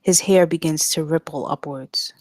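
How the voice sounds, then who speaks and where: Lowish-voiced woman narrating a script. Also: moderate pace, darkish tone, no room sound. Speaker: narrator reading stage directions. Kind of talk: scripted narration at table read.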